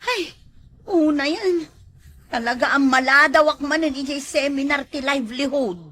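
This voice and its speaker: Woman acting a high-voiced, whiny village woman. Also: moderate pace, sharp tone. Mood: defensive. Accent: Ilocano. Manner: complaining, pleading, exclaiming. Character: whiny, pushy village woman always running late. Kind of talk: Ilocano comedy drama dialogue.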